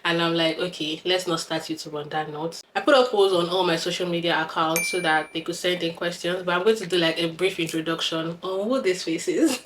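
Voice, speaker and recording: A young Nigerian woman in her twenties, talking to camera in an easy, chatty way and laughing often. Her voice sits a little low for a woman. Faint noise hums under her. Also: fairly even intonation, fairly quick, fairly bright tone, poor audio. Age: 20s. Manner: chatty and giggly, with frequent laughs. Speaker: vlogger. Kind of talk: casual talk to camera.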